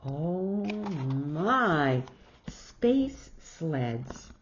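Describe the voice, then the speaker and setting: A woman reading a children's story aloud, theatrical and expressive, exclaiming reactions as she goes. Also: deep voice, drawn-out words, slight background noise. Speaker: storybook reader. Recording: dull lo-fi recording.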